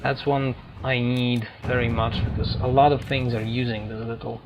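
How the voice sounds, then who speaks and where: A man who is a non-native English speaker giving casual, halting, digressive commentary as a gaming let's player. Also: medium pitch, flat delivery, background noise. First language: not English. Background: faint game music.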